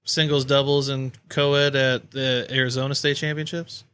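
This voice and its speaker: A man's voice: medium pitch, level tone, moderate pace, a bit bright, clean tone. A man co-hosting a casual sports podcast, chatting in a joking, teasing manner. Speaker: podcast co-host.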